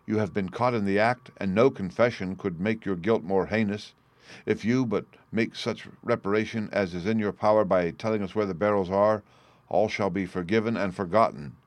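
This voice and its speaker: A man's voice: lowish voice, steady tone, normal speed, no echo, natural voice. A man voicing an older respectable father in an audiobook, anguished and stern, formal, emphatic and accusing. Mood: stern appeal. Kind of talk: audiobook character dialogue.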